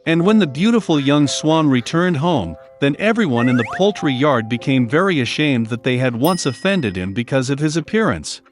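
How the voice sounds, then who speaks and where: A man narrating a children's fairy tale in a gentle, evenly paced storytelling manner. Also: mid-range voice, big pitch swings, normal speed, few pauses, faint music behind.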